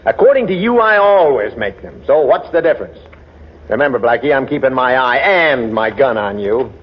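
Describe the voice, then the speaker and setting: An actor as a blunt old-time radio police inspector. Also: male voice, mid-range voice, big pitch swings, moderate pace, slight background noise, natural voice. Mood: stern, sardonic. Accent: American. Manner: blunt, sardonic. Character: gruff, skeptical male police inspector, grudging and exasperated. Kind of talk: 1940s American radio drama acting. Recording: dull 1940s radio recording.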